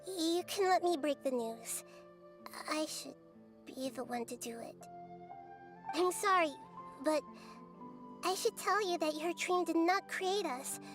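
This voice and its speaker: A tiny girl god with a very high, melodic voice, calm and gentle in a game cutscene. She speaks slowly and kindly. Game music plays softly behind her. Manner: calm, soft-spoken and apologetic. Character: small childlike girl god, gentle and wise.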